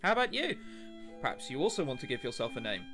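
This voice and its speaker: A man's voice: a little high, wildly swooping pitch, quick pace. A man voicing a friendly, reassuring female shadow ghost in a visual novel, with music behind. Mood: gently reassuring. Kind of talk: visual novel dialogue reading.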